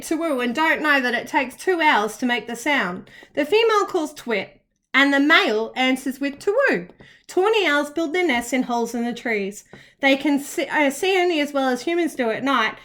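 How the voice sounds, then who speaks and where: A woman reads a children's picture book aloud. Her voice is high and very animated, and she phrases the story carefully and expressively for young listeners. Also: normal speed.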